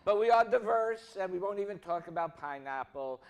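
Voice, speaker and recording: A man speaking as a minister to his congregation, reading and preaching with measured, deliberate phrasing. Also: sits high, expressive, gravelly, lo-fi sound. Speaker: minister leading a church service. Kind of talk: sermon, reading aloud to a congregation.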